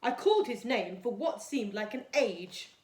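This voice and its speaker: A snobbish storybook mother, acted out by a woman reading aloud, prim, bossy and disapproving. Her pitch swoops about, and the room echoes around her. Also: lively intonation, a little slow, darkish tone, a little hiss or hum. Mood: stern, imperious. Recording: echoey, roomy sound.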